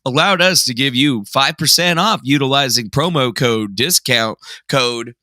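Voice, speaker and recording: A man's voice: medium pitch, measured pace, pushed and bright, no room sound, studio quality. A young man casually hosting a livestream, joking sarcastically and reading viewer messages aloud. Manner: jokey, sarcastic, self-mocking; reads chat aloud and reacts. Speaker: livestream host.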